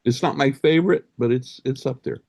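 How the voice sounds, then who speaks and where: A man's voice: mid-range voice, fairly quick, gravelly, darkish tone, no echo. A man on a casual music panel who speaks hesitantly, with many "um"s and dry jokes. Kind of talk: casual panel chat about albums.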